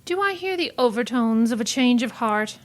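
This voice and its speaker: A woman playing a self-possessed, sharp-tongued young woman with an arch, theatrical manner in a radio mystery. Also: on the high side, lively intonation, moderate pace, natural voice. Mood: arch, teasing. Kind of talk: old-time radio mystery acting.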